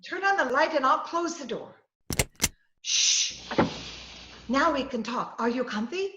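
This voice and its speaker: A woman's voice: high voice, measured pace. A woman acting a plucky, headstrong, scatterbrained lady reporter in a 1940s-style radio comedy, bossy and theatrical. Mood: bossy, hushed urgency. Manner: bossy, excitable, theatrical delivery. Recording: roomy, muffled, faintly noisy video-call recording.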